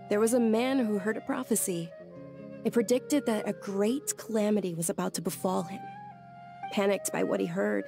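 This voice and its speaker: A woman playing a gentle, polite young follower of a deity in a video game, speaking with soft, hesitant courtesy. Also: medium pitch, gravelly, lightly acted. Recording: faint music behind the voice. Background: background music.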